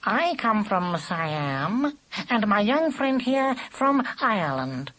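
A man voicing a grave, haughty storybook Siamese cat gentleman with silky, formal, precise speech. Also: high-pitched, big pitch swings, pitched above the performer's own voice, heavily acted. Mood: silky, polite. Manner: formal, precise, drawn-out delivery.

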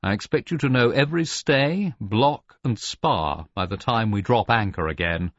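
A man's voice: on the low side, moderate pace, no echo, highs cut off, lightly acted. A man playing a stern, cool senior naval officer with a clipped, commanding manner in an audiobook.

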